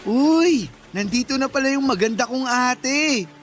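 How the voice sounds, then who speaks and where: An actor playing a cheeky, flattering student younger brother in a radio drama, teasing and wheedling. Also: male voice, very high-pitched, a little slow, soft background music, heavily acted. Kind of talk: Tagalog radio drama acting.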